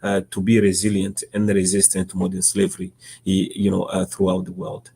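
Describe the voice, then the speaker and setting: A man with a Congolese accent and a fairly low voice gives interview answers. His speech is halting, with frequent stammered repeats as he explains his work as an organization's director. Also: level tone, creaky, dark tone, slight background noise. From Democratic Republic of Congo. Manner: halting, stammering repetitions of word starts. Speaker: interview guest, nonprofit executive director.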